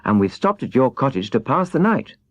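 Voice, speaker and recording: Plucky storybook little girl, played by a man: forthright, earnest, raised pitch, lively swoops. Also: fairly quick, no echo. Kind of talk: children's storybook character reading.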